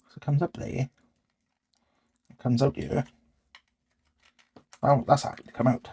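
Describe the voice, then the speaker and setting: A man's voice: mid-range voice, level tone, a little slow, a little mellow, husky. A man talking casually to himself in short, tentative phrases as he narrates hands-on repair work. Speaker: host of a DIY repair channel. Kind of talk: casual hands-on repair commentary, thinking aloud.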